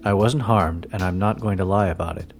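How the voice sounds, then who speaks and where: A man voicing a large, weary male businessman who speaks in a dry, low-key, reluctant way. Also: a little fast, faint music behind.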